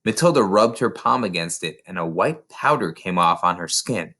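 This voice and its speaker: A man reads a children's novel aloud as an expressive storyteller. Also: sits low, normal speed. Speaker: narrator, reader of a children's novel.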